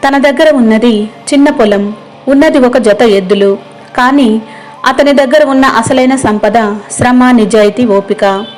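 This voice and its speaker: Higher-pitched woman narrating a story. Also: a little slow, darkish tone. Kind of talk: scripted moral story narration.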